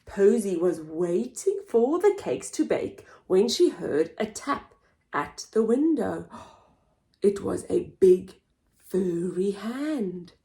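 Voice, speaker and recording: A woman hosting a children's story time, reading aloud in an animated, expressive, theatrical way for very young listeners. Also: medium pitch, slow. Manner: expressive, theatrical, with gasps and whispers. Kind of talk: animated read-aloud for toddlers.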